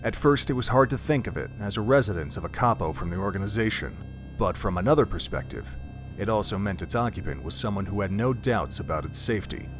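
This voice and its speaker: Man, audio drama narration, lowish pitch, measured storytelling, background music. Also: lively intonation.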